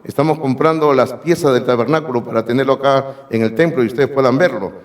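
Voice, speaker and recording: A hoarse man preaching a sermon as pastor, emphatic and speaking directly to his congregation in a church sanctuary. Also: mid-range voice, quick pace. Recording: long reverb of a church hall.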